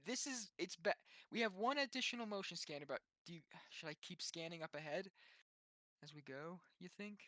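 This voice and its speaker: A man with a fairly high, bright voice talks through his moves as a tabletop player. He is chatty and informal, with a close, dry sound. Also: lively intonation, a little fast, dry recording. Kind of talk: casual game chat.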